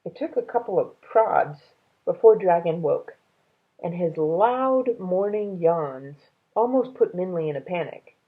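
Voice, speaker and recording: A woman reading a children's story aloud in an expressive, unhurried way, as if to young listeners. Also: fairly low pitch, narrow, tinny audio. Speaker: read-aloud reader.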